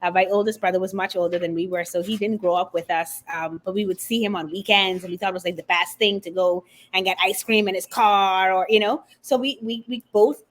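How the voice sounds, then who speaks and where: Woman, from the Bahamas, middle-aged, interview guest, expressive storyteller, medium pitch. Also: fairly quick, few pauses. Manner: animated, expressive storytelling with faith references. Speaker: guest author and confidence coach. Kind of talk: conversational interview.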